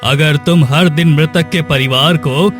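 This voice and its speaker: A man's voice: a little high, fairly even intonation, a little slow, lightly acted. A man playing a stern, imperious king passing judgement in a cartoon moral story. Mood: accusing, grave. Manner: imperious, accusing. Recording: music behind the voice.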